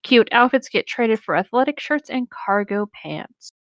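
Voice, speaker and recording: A woman's voice: fairly high pitch, expressive, unhurried, dry recording. A woman co-hosting a show, reading a story aloud informally and breaking off for sardonic banter. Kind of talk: casual story narration and banter.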